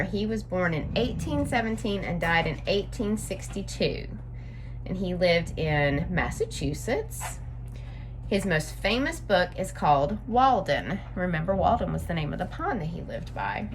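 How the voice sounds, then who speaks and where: A woman reads aloud for children in an animated, swooping voice. She speaks straight to young listeners and explains things in simple, instructive terms. There is some background noise in the recording. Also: fairly low pitch, measured pace. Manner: expressive, instructive, addressing young listeners directly. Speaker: story time host reading to children.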